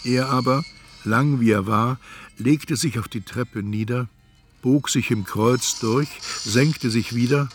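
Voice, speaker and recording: An actor playing an introspective first-person narrator in a literary radio play. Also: male voice, mid-range voice, takes it slowly, soft background music, close to an everyday voice.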